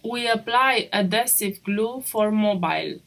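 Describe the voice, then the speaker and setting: A woman's voice: medium pitch, unhurried, a bit bright. A woman reading a scripted instructional narration as a tutorial narrator, in short step-by-step statements. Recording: clearly noisy track with clicks.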